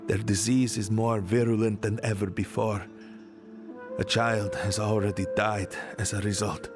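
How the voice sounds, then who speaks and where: A man playing a grave, stoic, guilt-ridden warrior in a fantasy video game, speaking in a measured, halting way. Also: fairly low pitch. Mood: grave, remorseful. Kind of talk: video game dramatic dialogue.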